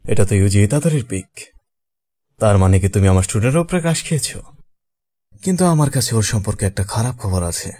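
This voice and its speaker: Man voicing a fast-talking, cocky anime sorcerer. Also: fairly low pitch, lively intonation, dark tone, slight background noise, lightly acted. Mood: teasing, probing. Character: cocky, powerful male sorcerer teacher, flippant and menacing. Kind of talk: anime fan dub dialogue in Bangla.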